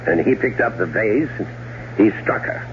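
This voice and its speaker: A deep-voiced, outwardly grieving widower played by a male actor in a 1970s radio drama. He speaks with measured, halting politeness, smooth but evasive and calculating. The old broadcast is muffled, with noticeable hum. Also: animated, natural voice. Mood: insistent, hesitant.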